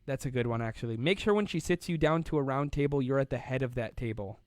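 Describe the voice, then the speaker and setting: A man's voice: medium pitch, quick pace, darkish tone, no room sound. A young man hosting a casual live online show, rambling and playful, laughing often as he reads out viewer comments. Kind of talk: casual live-stream chat.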